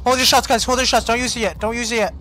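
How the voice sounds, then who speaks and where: A man calling out loud, emphatic orders to teammates in online game voice chat, often saying them twice. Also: extremely high pitch, steady tone, rapid-fire, faint music behind. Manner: loud, emphatic repeated callouts. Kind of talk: urgent in-game voice-chat callouts.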